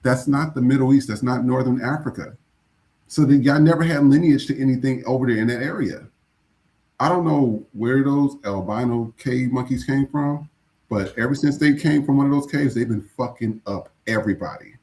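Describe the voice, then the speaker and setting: A man's voice: mid-range voice, normal speed, darkish tone. A man from the United States co-hosting a news podcast, talking loosely and indignantly with some profanity. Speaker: podcast co-host. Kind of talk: casual news commentary.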